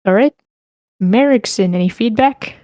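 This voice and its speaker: A woman moderates an online group meeting in a casual, unpolished way. Her voice sits at an average pitch and the sound is very dry. She reads messages out and drops small muttered asides to herself as she goes. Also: moderate pace, a little mellow, no echo. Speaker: host moderating a script feedback session.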